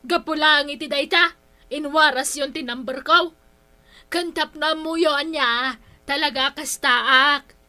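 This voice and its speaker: A woman's voice: high voice, fairly even intonation, slow pace, bright, forceful tone, exaggerated voice. An actress with an Ilocano Filipino accent playing an aggrieved, gossipy village woman in a radio comedy, exclamatory and dramatic. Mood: indignant, hurt.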